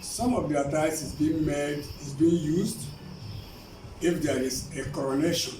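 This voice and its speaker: A man's voice: on the high side, measured pace. A man from eastern Nigeria with an Igbo Nigerian accent explains things as an interview guest, using repetitive, emphatic phrasing. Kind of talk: informal explanatory interview answers. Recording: roomy, distant, clearly noisy recording.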